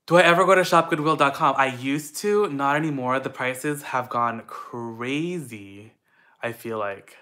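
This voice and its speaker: Upbeat young guy from the philippines, bright clear voice, rambling q&a at home. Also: medium pitch, unhurried. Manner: chatty, upbeat, lots of fillers. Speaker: vlogger answering viewer questions. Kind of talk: casual Q&A chat.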